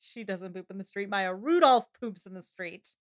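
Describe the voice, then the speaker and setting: A woman co-hosting a casual book chat, playful and quick to put on a bubbly voice for jokes. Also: mid-range voice, lively intonation. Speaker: podcast co-host. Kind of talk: casual chat about a novel.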